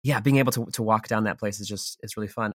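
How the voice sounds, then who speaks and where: A man's voice: fairly low pitch, steady tone, quick pace, fairly bright tone, no room sound, studio quality. A man being interviewed as an audio drama creator, speaking in an enthusiastic, informal, conversational way. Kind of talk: casual interview chat.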